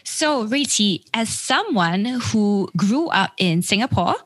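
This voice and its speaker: Woman podcast host, earnest and upbeat, mid-pitched, interviewing a guest. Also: animated, slow, fairly bright tone, no room sound. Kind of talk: scripted intro then interview questions. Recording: intro with clear music behind.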